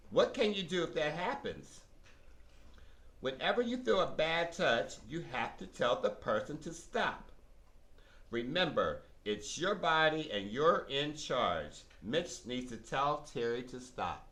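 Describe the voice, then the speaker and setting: Slow, animated male children's narrator. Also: a little high. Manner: instructive, emphatic, addressing children. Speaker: narrator of puppet show. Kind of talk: scripted children's storytelling narration.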